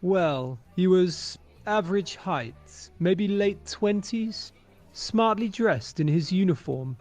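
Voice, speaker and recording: Man acting courteous maitre d', radio drama, highish lilting voice, unhurried, faint music behind. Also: lively intonation, a little mellow. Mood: obliging, hesitant. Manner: courteous, formal, measured. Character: polite, formal male maitre d' of a wartime tea shop.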